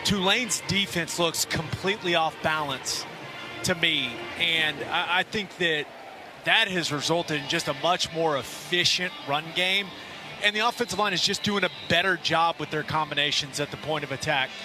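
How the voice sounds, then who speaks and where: A male football analyst speaks in a moderately high voice, explaining the game in measured, technical terms. There is clearly audible background sound like music behind his broadcast commentary.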